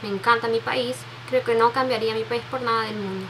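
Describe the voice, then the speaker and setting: A woman, chatting softly and answering viewer questions into a webcam, with many restarts. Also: a little high, fairly even intonation, a little fast, noisy background. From Peru. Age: 20s. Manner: soft, sentimental, many restarts and fillers, reads out questions. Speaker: beauty vlogger. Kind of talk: casual Q&A tag video. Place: bedroom with makeup shelves, indoors. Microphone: webcam at desk.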